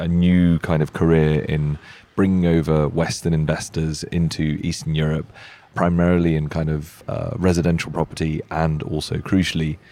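A man in his thirties from the Czech Republic with a British accent, talking casually and self-deprecatingly in a live interview at a hotel. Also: a bass voice. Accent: British English. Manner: relaxed, self-deprecating, many fillers. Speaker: software company founder. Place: hotel lounge.

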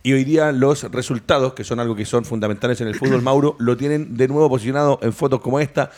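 Fast-talking sports host. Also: male voice, mid-range voice, fairly even intonation, a little mellow. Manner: fast, hesitant with fillers. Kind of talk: sports talk show.

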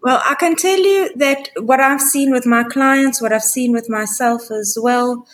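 A woman's voice: fairly high pitch, unhurried. A woman from Africa, a guest coach talking earnestly and reflectively in an interview, repeating phrases as she thinks. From South Africa. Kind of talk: conversational interview.

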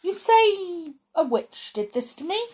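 A woman voicing a loud-mouthed, greedy boy who has been turned into a mouse, brash and indignant. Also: very high voice, big pitch swings, a little slow, pitched above the performer's own voice, exaggerated voice.

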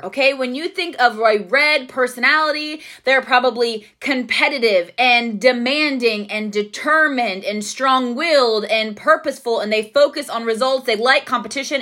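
High bright-voiced upbeat woman. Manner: upbeat, emphatic, repeats "okay?" often. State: voice going hoarse from overuse. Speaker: podcast host. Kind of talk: solo motivational podcast talk.

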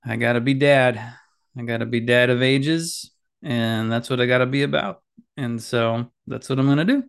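Dad podcaster, gravelly mid-pitched voice with a nasal edge, casual and self-deprecating, solo from his home office. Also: steady tone, normal speed. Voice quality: slightly nasal. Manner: rambling, self-deprecating, many fillers and restarts. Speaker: podcast host. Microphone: boom mic with headphones.

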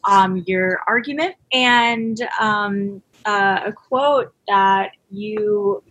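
A woman's voice: mid-range voice, extremely slow, a bit bright, low-quality recording. Woman hosting a podcast interview. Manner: hesitant questions full of "um" and "uh", upbeat intro. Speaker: podcast host.

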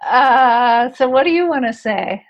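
A woman's voice: sits high, expressive, a little slow, highs cut off. A woman interviewer asking questions and encouraging her guests with "right, right.". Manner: encouraging, frequent "right, right" and "mm-hmm".

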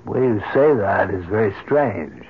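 Courteous, low-voiced church doctor. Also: male voice, sing-song, moderate pace, slightly rough, exaggerated voice. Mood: puzzled. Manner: courteous, gently firm. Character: kindly, solicitous male doctor of the church. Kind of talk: old-time radio drama acting. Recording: noisy, muffled old radio recording.

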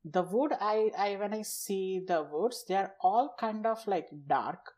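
A man's voice: high voice, a little slow, a little mellow. A man with an Indian accent, a Gujarati native speaker, chatting as a podcast guest and explaining things in short, loose phrases. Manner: lists and explains things in short chunks, somewhat unfinished sentences. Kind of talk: casual chat.

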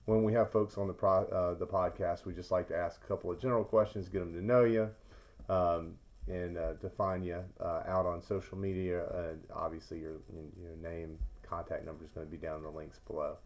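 A man hosts a podcast interview, asking his questions in a loose, relaxed way with a few fillers. His voice is low and deep. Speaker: podcast host. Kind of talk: casual interview hosting.

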